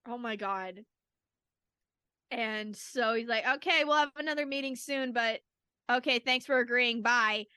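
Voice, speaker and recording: A woman's voice: on the high side, measured pace, bright, forceful tone, clean tone, dry recording, clean studio sound. A woman podcast guest telling stories casually, with a gushing, dramatic fan's delivery. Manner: gushing, giggly, dramatic retelling. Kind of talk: casual, animated fan storytelling.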